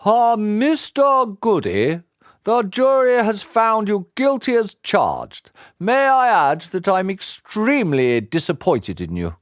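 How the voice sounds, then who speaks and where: A man voicing a stern, unsmiling old judge who lectures slowly and pompously. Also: high-pitched, wildly swooping pitch, no room sound, studio quality, pitched above the performer's own voice, full character voice. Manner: slow, pompous, reproachful.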